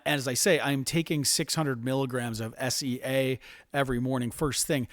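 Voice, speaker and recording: A man's voice: medium pitch, no room sound. American man explaining in a dry-sounding recording. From United States. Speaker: health video presenter. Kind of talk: informal explanatory presentation.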